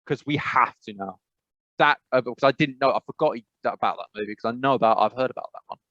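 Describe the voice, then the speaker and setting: A man with an English accent co-hosting a podcast, rambling and animated, with emphatic repetitions. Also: mid-range voice, quick pace, no echo, highs cut off. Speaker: podcast co-host. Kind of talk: casual chat.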